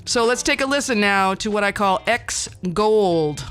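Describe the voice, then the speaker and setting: A woman's voice: medium pitch, animated, unhurried, a bit bright, faint music behind. A middle-aged American woman hosting a podcast in a casual, rambling, wry and self-deprecating way, with hesitations. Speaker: podcast host.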